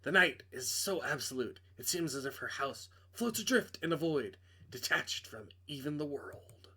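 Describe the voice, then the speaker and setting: Higher-pitched man, dramatic narration. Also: lively intonation, normal speed, sharp tone, breathy edge. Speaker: narrator reading a visual novel aloud.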